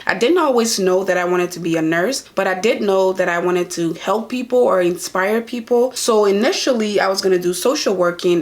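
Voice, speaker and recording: A young woman from Liberia, a nurse and vlogger, answering viewer questions in an earnest, casual chat to camera in a small room. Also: medium pitch. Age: young adult. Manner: earnest, talks straight to viewers.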